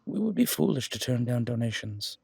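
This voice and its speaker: Man playing a genial, gravelly museum gentleman. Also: mid-range voice, sing-song, brisk, dry recording, exaggerated voice. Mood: warm, welcoming. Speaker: voice actor. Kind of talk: roleplay audio drama acting.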